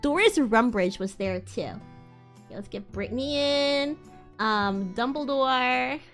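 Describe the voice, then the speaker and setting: A woman's voice: high voice, lively intonation, unhurried, fairly bright tone, soft background music. A woman hosting a livestream dramatic reading of fan fiction, giggly and sweary, with asides. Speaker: livestream host.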